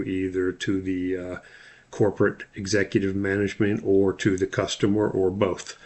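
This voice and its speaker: A man giving an explanatory slide lecture as an instructor, often pausing on filler sounds. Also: low-pitched. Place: voice-over recorded over slides.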